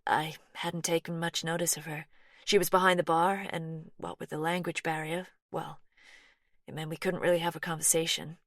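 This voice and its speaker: Narrator voicing a soft-spoken, anxious young American woman; low voice, dry read. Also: steady tone, normal speed, no room sound. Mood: defensive, anxious. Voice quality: soft, firm.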